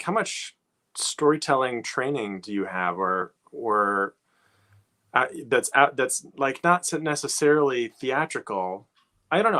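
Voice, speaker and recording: A man's voice: medium pitch, bright, forceful tone. A man hosting a casual interview, asking informal, rambling questions as he chats. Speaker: interviewer, host.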